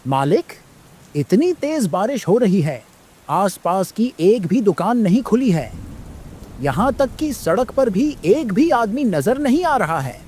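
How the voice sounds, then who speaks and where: A cautious young servant played by a man in a kids' cartoon, very high for a male voice. He swoops in pitch as he nags his master, whiny but deferential. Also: very animated, slow pace, a little mellow, slight background noise, exaggerated voice. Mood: complaining. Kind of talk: Hindi children's moral-story cartoon dub.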